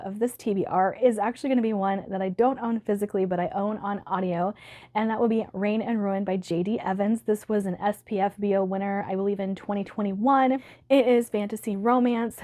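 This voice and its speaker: A woman book vlogger talking casually to camera, upbeat and chatty, with a slightly hoarse voice from allergies. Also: mid-range voice, dark tone. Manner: chatty, upbeat, laughs often.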